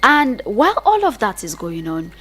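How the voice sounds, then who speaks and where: A woman's voice: fairly high pitch, wildly swooping pitch, normal speed, a bit bright, slightly rough. A woman with a Nigerian accent presenting an explanatory radio talk in an earnest, emphatic manner, often repeating phrases to list her points.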